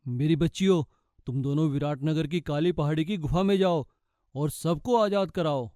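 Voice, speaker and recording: A man's voice: a little high, normal speed, warm tone, no room sound, studio quality, natural voice. A man voicing an authoritative, kindly fairy king and father in a children's cartoon. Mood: fatherly. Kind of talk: children's cartoon story acting.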